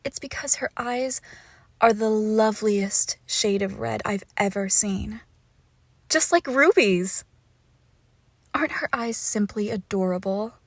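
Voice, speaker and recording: A woman playing a lovesick, possessive young witch in an intimate roleplay audio, cooing haltingly and tenderly with a creepy edge, often dropping into whispers. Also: mid-range voice, dry recording. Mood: playful, doting. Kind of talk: intimate roleplay audio acting, close to the listener.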